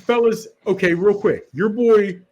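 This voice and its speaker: Man, slightly high voice, animated, rhetorical questions, casual sports chat. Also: a little slow, dull audio. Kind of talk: casual sports podcast banter.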